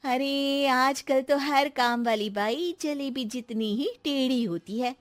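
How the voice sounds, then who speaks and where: A woman voicing a practical, teasing housewife in a cartoon, with an animated, soothing manner. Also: high-pitched, slow pace. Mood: teasing, reassuring. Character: practical, teasing housewife managing a troublesome maid. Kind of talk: Hindi cartoon voice acting.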